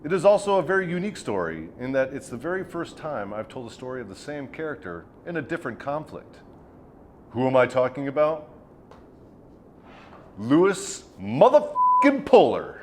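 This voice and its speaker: A man tells war stories as a swaggering, theatrical host. His pitch moves around a great deal as he plays up the drama, and his delivery is crude and darkly comic. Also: very animated, normal speed, a little hiss or hum. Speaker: host of a military storytelling show, a first sergeant. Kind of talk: dramatic scripted storytelling with profane humour.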